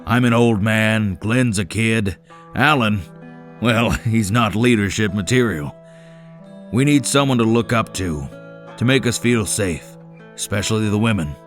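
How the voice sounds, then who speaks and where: A man's voice: fairly low pitch, measured pace, soft background music, lightly acted. A man playing a frank, world-weary old man, the elder of a survivor camp, in a dramatic comic dub. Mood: earnest.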